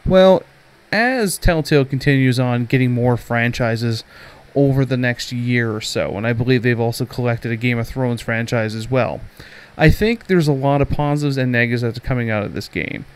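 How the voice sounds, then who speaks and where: A man's voice: mid-range voice, moderate pace, smooth. A man hosting a podcast game review, giving commentary that moves between prepared narration and loose opinion. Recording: music playing behind the voice. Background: music.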